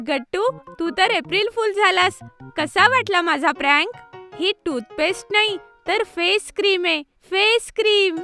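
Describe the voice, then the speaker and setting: Excitable prankster kid sister for a kids' cartoon: tiny, very high, bright, teasing. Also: female voice, takes it slowly, faint music behind, full character voice. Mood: gleeful, teasing. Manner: exclamatory, teasing. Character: excitable, giggly, mischievous little girl, a prankster sister. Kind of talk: children's cartoon voice acting.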